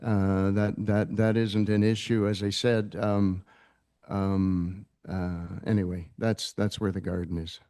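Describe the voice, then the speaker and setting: A man's voice: fairly low pitch, flat delivery, takes it slowly, warm tone, dry recording, clean studio sound. A man speaking as an applicant at a council meeting, chatty and rambling, often breaking off mid-sentence. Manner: chatty, rambling, self-interrupting. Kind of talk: public comment at a meeting. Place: council chamber.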